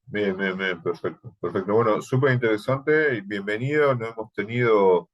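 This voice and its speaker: A man with an Argentine accent leads a thesis class over a video call. His voice sits very low, and he hesitates often, stacking fillers as he gives feedback to students. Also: moderate pace, muffled recording. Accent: Argentine Spanish. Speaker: thesis workshop teacher. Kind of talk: online class, thesis advising.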